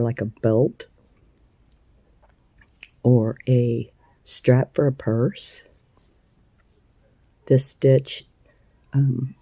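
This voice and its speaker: Woman with a scratchy, gravelly voice. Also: very deep voice, mellow, no room sound. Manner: chatty, unhurried, with frequent ums and uhs. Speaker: crochet tutorial host. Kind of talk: casual hands-on craft tutorial.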